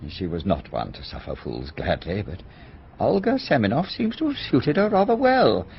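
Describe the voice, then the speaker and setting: Radio drama solicitor: middle-aged, British, dry and guarded, low voice with wide inflection, slightly muffled. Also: male voice, huge pitch swings, normal speed, vocal fry, slight background noise, exaggerated voice. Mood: dry, explanatory. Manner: formal, precise, guarded.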